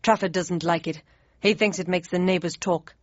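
A well-mannered female gorilla from an audiobook, speaking in a soft, slightly lowered, wistful tone, as a woman reader puts her on. The recording is dull and dry. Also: steady tone, normal speed, no echo, close to an everyday voice. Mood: nostalgic.

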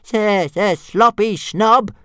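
A squeaky stammering little dragon. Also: male voice, slow pace, sharp tone, clean tone, dry recording, studio quality, exaggerated voice. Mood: cheeky stammering. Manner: stutters, high and squeaky. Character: small stammering young male dragon, cheeky.

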